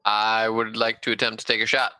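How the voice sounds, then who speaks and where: Male tabletop player. Lower voice, very bright edge, casual, close and dry. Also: flat delivery, fairly quick, dry recording. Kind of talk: casual chat during a roleplaying game.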